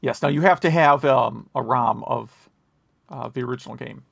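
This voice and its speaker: A male podcast host with a creaky, gravel-edged voice at a middling pitch. He talks casually and rambles into asides, recorded dry and close. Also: moderate pace, no echo. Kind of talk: casual retro gaming news chat.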